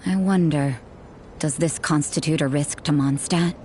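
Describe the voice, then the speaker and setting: A woman's voice: low-pitched, a little slow, dark tone, a little hiss or hum. A woman voicing a suspicious, wry female onlooker who muses to herself in a video game.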